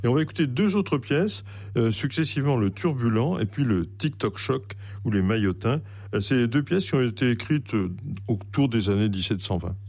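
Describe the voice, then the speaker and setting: A man's voice: medium pitch, animated, moderate pace, darkish tone. A man hosting a radio interview, presenting a guest and music. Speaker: radio show host.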